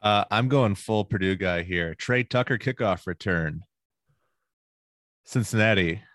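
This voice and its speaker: A man with a very deep, low voice talks through his points in order on a casual sports podcast. His delivery is steady and measured. The recording is dry and close. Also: dry recording. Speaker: podcast co-host and producer.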